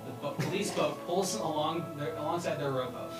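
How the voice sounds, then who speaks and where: A man's voice: a little high, a little fast. A man narrating a script at a live read-through, stumbling now and then and prone to laughing. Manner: stumbles over lines, breaks into laughter. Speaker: narrator reading stage directions at a table read. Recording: roomy, distant mic, music behind.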